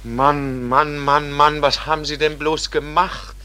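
An actor playing a soft-hearted, agitated army lieutenant in a 1940s German radio play, flustered and stammering, full of exclamations. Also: male voice, a little high, level tone, takes it slowly, soft background music. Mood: exasperated, dismayed. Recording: noisy old 1940s radio recording.

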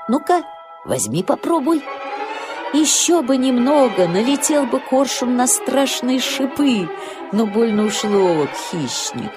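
A woman storyteller narrating a scripted children's nature tale in an expressive, dramatic way. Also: fairly high pitch. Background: music.

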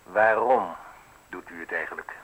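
An actor playing a defiant, sceptical newspaperman in a radio play, with a husky voice and a curt, probing delivery. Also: low voice, normal speed, fairly bright tone, highs cut off. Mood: hesitant, probing. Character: defiant, sceptical male newspaperman facing arrest, sharp-witted. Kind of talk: Dutch radio play acting.

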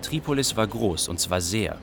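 A man's voice: on the low side, animated, normal speed, gravelly, noisy background. A man acting as a refugee, narrating in a matter-of-fact way in a radio play. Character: matter-of-fact male refugee describing a seedy city.

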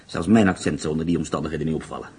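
An actor playing a calm, commanding escaped German prisoner of war who speaks with a German accent. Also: male voice, rumbling low, racing, breathy edge, heavily acted. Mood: reassuring. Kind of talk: radio play acting.

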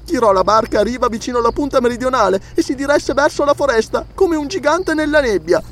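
A man's voice: extremely high pitch, lively intonation, fast, fairly bright tone, voice pushed up, full character voice. A man voicing a frightened little servant girl who stammers and pleads in a storybook reading. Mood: fearful, awed.

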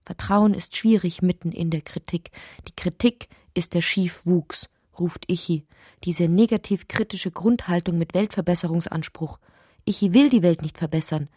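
A woman narrating a literary audio drama in a breathy voice that often drops toward a whisper, reciting deliberately and poetically. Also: fairly low pitch, dark tone, no room sound, clean studio sound.

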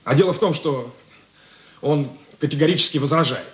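A man's voice: a little high, fairly quick, natural voice. An actor playing an earnest young rookie policeman in a radio play, hesitant and plaintive. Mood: aggrieved. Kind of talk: Russian radio drama acting. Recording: echoey room.

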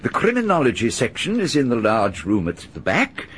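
An actor playing a genial older gentleman with a fussy, chuckling manner in a radio drama. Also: medium pitch, huge pitch swings, moderate pace, husky, exaggerated voice. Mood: chatty. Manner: chuckling, fussy, hesitant repeats. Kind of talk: 1930s-style BBC radio drama.

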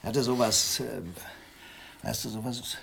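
A man's voice: medium pitch, flat delivery, unhurried, vocal fry, a bit bright. A rich factory boss of about fifty with an anarchist past, in a radio crime drama. He is jovial and blustering, talks in a hearty colloquial way, and has faint noise on the recording. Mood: subdued, confiding. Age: middle-aged. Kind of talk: German radio crime play acting.

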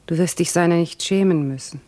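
An actress playing a wounded, dignified young wife and mother, measured and solemn, in a 1950s German radio drama. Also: fairly low pitch, mellow, lightly acted. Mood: sad resolve.

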